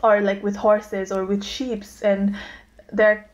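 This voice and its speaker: A woman's voice: medium pitch, flat delivery, slow, darkish tone, dull audio. Chatty young woman with a Nepali accent. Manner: chatty, laughs often, many fillers. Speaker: podcast co-host. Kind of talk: casual conversation.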